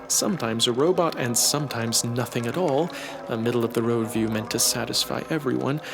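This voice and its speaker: A man reading a satirical literary story as a narrator, in a measured, expressive storytelling manner. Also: mid-range voice. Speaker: audiobook narrator. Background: music.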